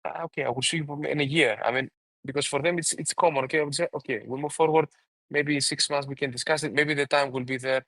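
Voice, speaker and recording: A man's voice: fairly high pitch, fairly even intonation, fast, pushed and bright, no echo. A man giving informal advice as a webinar speaker and mentor, often repeating and rephrasing himself as he goes.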